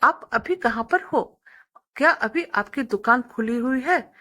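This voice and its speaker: A woman's voice: on the high side, expressive, unhurried, bright, forceful tone, full character voice. A woman voicing a young married woman customer in a story, polite, friendly and coaxingly insistent. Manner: polite, persuasive.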